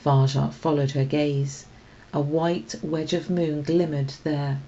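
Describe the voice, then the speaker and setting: A woman narrates a children's story expressively. Her voice is low and soft-toned with some creak at the edges, and the recording is a little muffled. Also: level tone, slow. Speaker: storybook reader.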